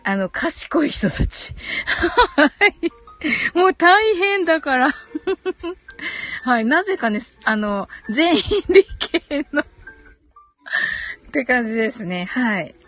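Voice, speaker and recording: High-pitched woman chatting politely on a livestream. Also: big pitch swings, slow, pauses often, fairly bright tone, soft background music. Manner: polite, chatty, frequent agreeing hums and giggles. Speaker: host of a live audio stream. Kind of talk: casual live chat, reading and answering listener comments.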